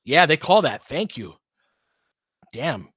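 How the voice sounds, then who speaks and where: A man's voice: fairly high pitch, big pitch swings, slow pace, a bit bright, breathy edge, no echo, clean studio sound. An American man doing casual play-by-play as a partisan fan, in clipped, colloquial present-tense phrases. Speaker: play-by-play host and fan commentator. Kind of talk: casual audio basketball play-by-play commentary.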